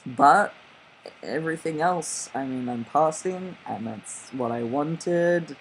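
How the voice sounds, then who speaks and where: A man with a fairly high-set voice giving a casual, candid personal update to camera, chatty and laughing often between remarks. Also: slow pace, a little hiss or hum, rough recording. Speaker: vlogger.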